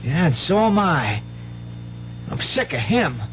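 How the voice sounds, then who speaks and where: A high, animated country teenage boy in a vintage radio play. He is earnest and protective, with hesitant rustic speech, on a dull recording with faint hiss and hum. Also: slow pace. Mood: bitter. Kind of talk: 1970s radio drama acting. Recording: muffled old radio broadcast, faint hum.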